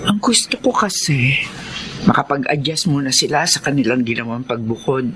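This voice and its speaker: A woman's voice: very deep voice, animated, moderate pace, fairly bright tone, slight background noise. An actress playing a tender, sentimental elderly widowed mother in a radio drama. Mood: wistful. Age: older. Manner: sentimental, emotive.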